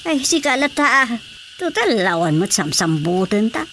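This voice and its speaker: A woman's voice: fairly high pitch, very animated, normal speed, a bit bright, slight background noise. A woman playing a chatty, concerned village woman in an Ilocano radio drama comedy.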